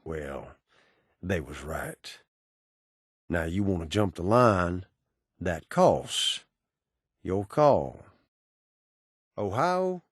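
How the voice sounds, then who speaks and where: A man's voice: sits low, big pitch swings, extremely slow, pauses often, breathy edge, dry recording, clean studio sound, heavily acted. A man playing a cynical, menacing undead bounty hunter in a video game, with a sardonic, folksy Southern American drawl. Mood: dry, sardonic, then wry. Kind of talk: video game character dialogue.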